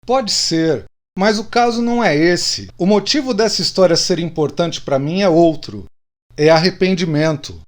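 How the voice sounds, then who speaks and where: A man acts out a middle-aged Brazilian friend, a little drunk and thoughtful. His voice is slightly higher than most men's. He speaks plainly and confidingly, in radio drama style. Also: expressive, moderate pace. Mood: regretful.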